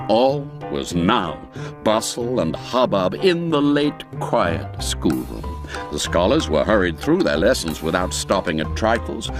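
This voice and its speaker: A man narrating a classic tale as an audiobook, in a theatrical, expressive, literary storytelling manner. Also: mid-range voice.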